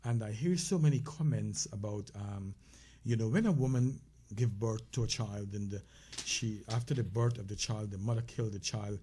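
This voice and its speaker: Husky-voiced man with a Caribbean accent. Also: on the low side, mellow. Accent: Caribbean English. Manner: conversational, halting, many fillers and restarts. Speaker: co-host of a health talk show. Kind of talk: casual livestream health talk.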